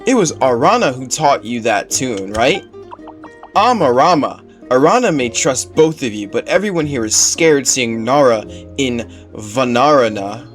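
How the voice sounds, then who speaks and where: Man putting on a skittish little forest spirit, very animated. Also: medium pitch, measured pace, soft background music, lightly acted. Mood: earnest. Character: small timid forest spirit, skittish but trusting.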